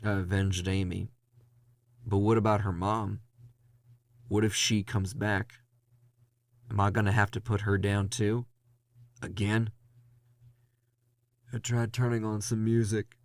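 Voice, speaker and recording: A man playing a weary, hard-boiled ghost hunter in a noir horror audio drama, gravelly, brooding and confessional. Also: on the low side, flat delivery, slow pace, pauses often. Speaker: narrator and lead character.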